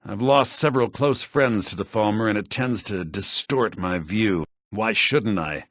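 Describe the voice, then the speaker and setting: A male actor playing a refined, earnest scholar-mage in a video game, speaking in an articulate, formal, measured way. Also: lowish voice, fairly bright tone, no echo, clean studio sound. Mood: bitter. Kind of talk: video game character dialogue.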